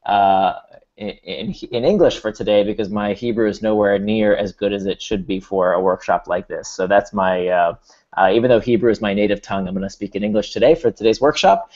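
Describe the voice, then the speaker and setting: A man's voice: on the low side, fairly even intonation, a little fast, a little mellow. An Israeli-born man with an American accent, presenting a workshop over a video call, explaining steadily and restarting with repeated words. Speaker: workshop speaker. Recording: dull lo-fi video call audio.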